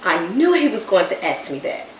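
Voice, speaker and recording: A woman reading a children's story aloud with expressive, animated first-person storytelling. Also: mid-range voice, normal speed. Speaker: storybook reader. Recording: roomy echo, faint background hiss.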